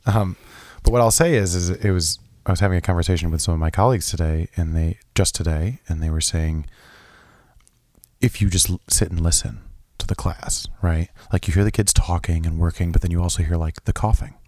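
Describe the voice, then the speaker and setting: A young man co-hosting a casual podcast chat, his voice low and a little hoarse from being sick, rambling with lots of restarts and fillers. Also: brisk, a little mellow, no echo, studio quality. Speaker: podcast co-host.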